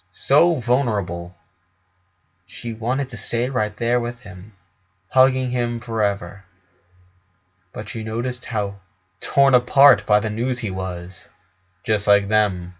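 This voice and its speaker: A man's voice: on the low side, lo-fi sound. A man reading a dramatic story aloud as narrator. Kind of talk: dramatic fan fiction narration.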